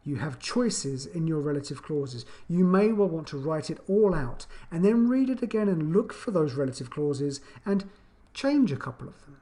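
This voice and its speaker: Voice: medium pitch, animated, normal speed, hardly any pauses, mellow. An English teacher giving an explanatory video lesson with an emphatic, questioning, teacherly delivery.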